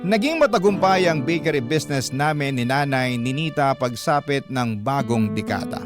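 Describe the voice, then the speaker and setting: A man reading a listener's letter in first person as scripted radio narration, in an earnest storytelling manner. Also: medium pitch. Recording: narration over clear guitar music. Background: guitar music.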